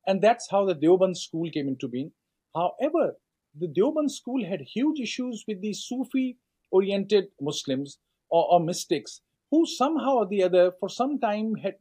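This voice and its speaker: A middle-aged man with a Pakistani accent answers at length in a measured, lecturing way, pausing on fillers and restarting phrases. His voice sits high for a man, with a mellow, dark tone. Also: slightly rough. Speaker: author and scholar guest.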